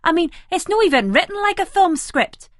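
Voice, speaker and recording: A woman's voice: very high-pitched, sing-song, fairly quick, no room sound, studio quality, pitched above the performer's own voice. A woman voicing a fussy, earnest young Scottish man who is sarcastic and challenging, in an audiobook. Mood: exasperated.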